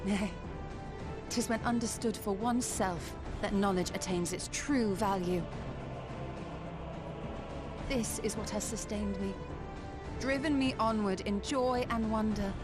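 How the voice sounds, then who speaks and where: A woman's voice: medium pitch, a little slow, long pauses, over music, close to an everyday voice. A woman playing a proud, wise sorceress and scholar in a fantasy video game, speaking in a composed, formal, archaic manner. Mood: resolute, impassioned. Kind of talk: scripted video game drama acting.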